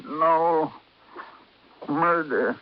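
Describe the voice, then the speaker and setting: An actor playing a weak, dying old man in a 1950s radio drama, halting and repeating his words as he labours through a confession. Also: on the high side. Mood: desperate, insistent. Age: older. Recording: noisy, muffled old radio recording.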